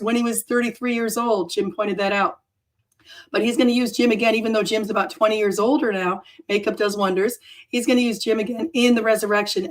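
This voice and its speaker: Woman host, froggy hoarse voice, rambling unscripted livestream chat. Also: a little high, rough recording. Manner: rambling, self-correcting, chatty. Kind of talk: off-the-cuff livestream talk.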